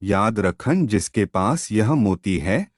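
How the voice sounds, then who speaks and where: A man playing a wise, mysterious sadhu in an animated moral story, speaking in emphatic, fatherly pronouncements. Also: on the low side, takes it slowly, dry recording. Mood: solemn, instructive.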